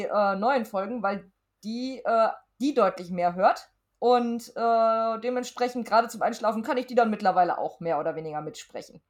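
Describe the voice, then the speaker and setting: A woman's voice: on the high side, darkish tone. A middle-aged woman chatting casually as a podcast guest answering questions. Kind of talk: casual chat.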